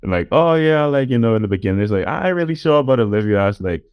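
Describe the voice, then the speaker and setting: Clear-voiced man, dull dry audio. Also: medium pitch, expressive, racing, no echo. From the Bahamas. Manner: laid-back, slangy, encouraging. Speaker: interviewer, host. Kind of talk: casual interview chat.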